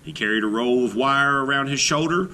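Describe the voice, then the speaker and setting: A man reading a children's picture book aloud in an expressive storybook manner, slowing down on key phrases. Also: medium pitch, slight background noise.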